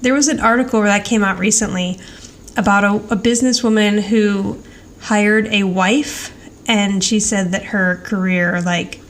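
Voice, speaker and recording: A woman's voice: medium pitch, fairly even intonation, a little slow. A woman co-hosting a podcast, casually and chattily recapping television. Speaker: podcast co-host.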